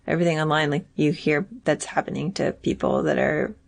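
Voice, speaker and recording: A woman co-hosting a podcast, searching for words and talking in halting, filler-heavy phrases. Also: low-pitched, creaky. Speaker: podcast co-host. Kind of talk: casual chat.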